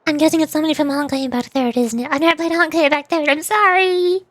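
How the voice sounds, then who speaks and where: A woman's voice: sits high, fairly even intonation, fast talker, a bit bright, no echo. A woman streaming casually, rambling with playful asides as she chats over a game. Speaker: streamer, VTuber. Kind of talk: casual live-stream chat, reading game dialogue.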